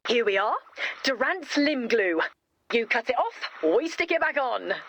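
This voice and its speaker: A woman voicing an eager young female inventor in an animated video game, enthusiastic and emphatic, cheerfully matter-of-fact about macabre things. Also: a little high, unhurried, dull audio, slight background noise, heavily acted.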